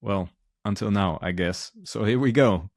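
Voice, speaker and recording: A man's voice: fairly low pitch, measured pace, creaky, no room sound, studio quality. A man with a Dutch accent hosting his own podcast, talking earnestly and steadily with frequent ums and uhs. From Netherlands. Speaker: podcast host, physician. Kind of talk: solo podcast monologue.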